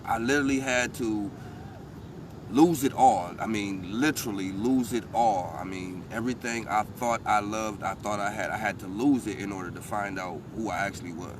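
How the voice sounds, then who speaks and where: A man's voice: on the low side, normal speed. A man from Toledo, answering interview questions outdoors with many restarts and fillers. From Toledo, Ohio. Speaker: interview guest, rapper. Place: outdoors by downtown waterfront. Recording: distant mic with street noise.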